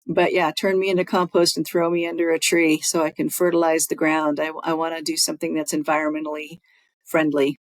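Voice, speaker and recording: A woman's voice: sits low, little pitch movement, a little fast, dry recording. A woman co-hosting a podcast in casual conversation. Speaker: podcast co-host.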